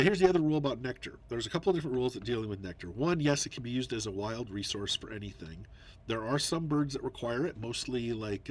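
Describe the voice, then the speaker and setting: A man's voice: fairly low pitch, husky. A man casually explaining his choices in a digital board game, a little congested and nasal, thinking aloud with many fillers. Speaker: board game video player. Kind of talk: casual gameplay commentary.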